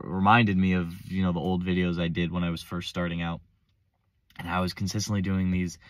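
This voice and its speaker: A young man with a deep, low voice, a little hoarse as he gets over a cold. He rambles in loose, slangy chat, cutting himself off and starting over. The sound is close and dead, like a phone held up in a parked car. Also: fairly bright tone, no room sound. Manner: rambling, self-interrupting, casual slang. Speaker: vlogger. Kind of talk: unscripted casual monologue. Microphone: phone front-facing selfie camera.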